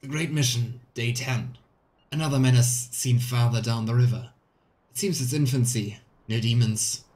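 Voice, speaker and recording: A man reading aloud the journal of an earnest, methodical demon researcher in measured, clipped phrases for a video game. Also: mid-range voice, darkish tone, lightly acted. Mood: earnest, studious.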